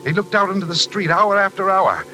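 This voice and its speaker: A man's voice: high voice, fairly quick, over music, natural voice, low-quality recording. An actor with an American accent playing a troubled, intense psychiatric patient confessing his past in a 1940s radio drama. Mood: haunted, reminiscing. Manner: confessional, melodramatic.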